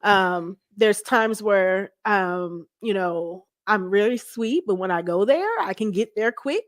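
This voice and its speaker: A woman's voice: medium pitch, takes it slowly, no room sound, clean studio sound. A woman in her forties speaking as an interview guest, casual and candid in conversation. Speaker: talk show guest.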